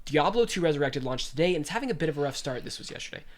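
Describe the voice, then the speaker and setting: A man's voice: a little high, rapid-fire. A young man with a congested voice, hosting a casual podcast and reading gaming news aloud. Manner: animated, reads news aloud. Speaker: podcast host.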